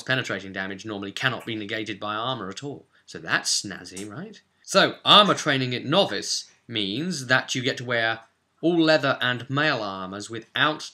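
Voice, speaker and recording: Chatty man with a bright, forceful voice. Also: medium pitch. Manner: chatty, checks in with "okay?" and "right?". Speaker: presenter of a tabletop roleplay primer. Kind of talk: informal explainer, conversational tutorial.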